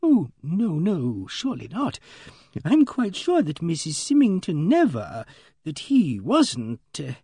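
A man plays a genteel old maiden lady from a storybook, pitched high and swooping in her agitation. She is pious and easily shocked, and she speaks in hesitant, fluttering murmurs, recorded dry and close. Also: huge pitch swings, takes it slowly, mellow, breathy edge, no echo, heavily acted. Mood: flustered denial. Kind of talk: audiobook character dialogue.

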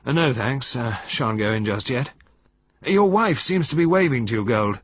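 A man's voice: medium pitch, lively intonation, fairly quick, darkish tone, dry recording, lo-fi sound, voice pushed up, close to an everyday voice. A man doing a grim clipped naval officer. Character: gruff taciturn naval commander, jealous.

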